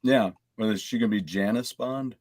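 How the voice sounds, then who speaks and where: A man's voice: on the low side, normal speed, darkish tone, dry recording. A man chatting casually and teasingly as a panelist on a video game podcast. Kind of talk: casual chat.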